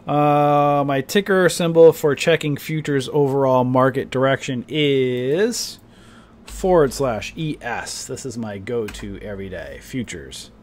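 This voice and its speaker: Man, mid-pitched, rambling casual trading commentary, slightly off voice, coughs now and then. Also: measured pace. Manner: rambling, chatty, many fillers like "you know" and "right". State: voice a bit off, coughing and clearing throat. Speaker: stock trading streamer. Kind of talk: casual market commentary to viewers.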